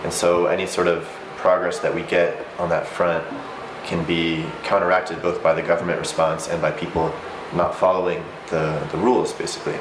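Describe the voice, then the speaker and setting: A man's voice: low-pitched, level tone, moderate pace, hardly any pauses, background noise. A young man from Philadelphia, answering interview questions in an organized, thoughtful way. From Philadelphia, USA. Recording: roomy sound over background music.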